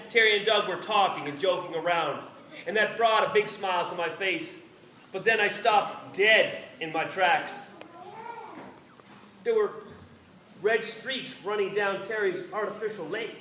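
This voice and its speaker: A man's voice: high-pitched, pushed and bright. A man reading a first-person story aloud expressively, recorded at a distance from the microphone. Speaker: reader. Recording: roomy, distant microphone with faint noise.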